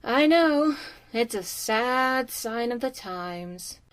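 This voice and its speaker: Woman playing a slow-talking, sly teen girl. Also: on the high side, expressive. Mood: mocking. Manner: slow, smug mockery. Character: sly, teasing, scheming teenage girl. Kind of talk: amateur anime audio drama acting.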